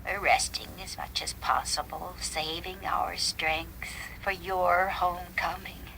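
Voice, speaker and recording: Elderly mother, frail and breathy, unhurried, tender pleading, radio drama with faint noise. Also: fairly low pitch, steady tone, bright, forceful tone, full character voice. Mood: tender, proud. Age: older. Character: frail elderly loving mother longing for her son. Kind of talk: dramatized short story acting. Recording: noisy vintage radio drama recording.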